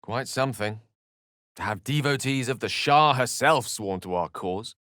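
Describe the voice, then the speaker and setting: A man's voice: mid-range voice, expressive, normal speed, pushed and bright, dry recording, clean studio sound. An actor voicing an eloquent, wry, bookish male wizard companion in a video game, with a theatrical, erudite delivery. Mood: wry, measured. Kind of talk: video game character voice acting.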